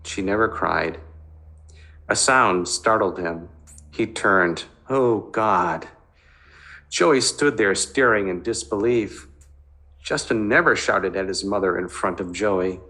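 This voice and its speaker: Male fiction narrator: dramatic reading, fairly low pitch, bright and forceful tone. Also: takes it slowly.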